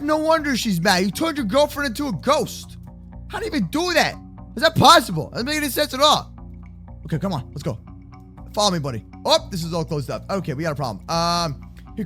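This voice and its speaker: A young adult man improvising a children's block-game roleplay with excitable, exaggerated, slangy banter. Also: high-pitched. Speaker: host of kids' gaming roleplay channel.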